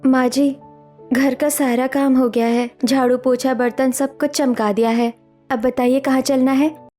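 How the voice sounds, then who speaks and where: A woman's voice: sits high, steady tone, measured pace, warm tone, faint music behind. A woman playing a meek, obedient young village daughter-in-law in a cartoon story, speaking submissively. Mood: dutiful. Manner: submissive, pleading. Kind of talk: dramatic Hindi cartoon story acting.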